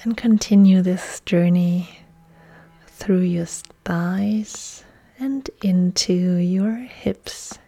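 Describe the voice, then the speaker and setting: A woman yoga instructor leading a guided relaxation meditation in a soft, breathy, soothing delivery with long pauses. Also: on the low side. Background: soft music.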